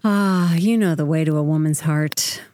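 An actress playing an affectionate, practical, hardworking wife in a radio drama, warm and lightly teasing. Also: female voice, sits low, moderate pace, lightly acted.

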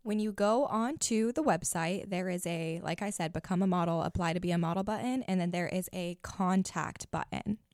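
Woman, 20s, podcast host; raspy, gravelly, low-pitched, blunt and chatty delivery. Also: moderate pace, hardly any pauses, a little mellow, dry recording, clean studio sound. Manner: blunt, chatty, many fillers. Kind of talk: casual advice chat.

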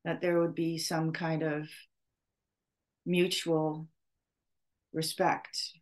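Middle-aged female podcast host, low register, slow and hesitant, unscripted reflection. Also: flat delivery. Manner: halting, searching for words, with long pauses. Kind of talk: off-the-cuff spoken reflection.

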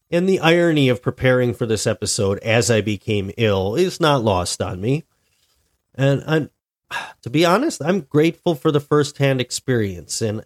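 Male podcast host, mid-pitched, congested, reflective solo monologue. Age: adult, married. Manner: deliberate, reflective, with frequent restarts. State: stuffy, sick with a cold-like illness.

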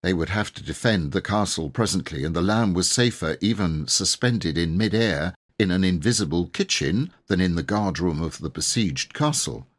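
Male audiobook narrator: low, slightly husky, measured and expressive scripted storytelling.

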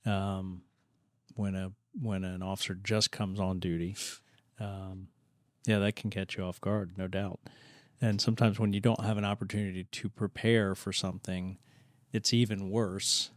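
A man co-hosting a podcast interview, speaking in a measured, reflective way with many fillers. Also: low-pitched, little pitch movement, dry recording, clean studio sound. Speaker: podcast co-host. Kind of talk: conversational interview.

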